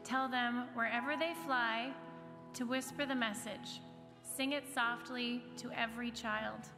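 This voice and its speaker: Slow, wise old owl read by a woman. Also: fairly high pitch, steady tone. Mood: gently urging. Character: wise old owl, calm leader of birds. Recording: reverberant hall, music behind the voice.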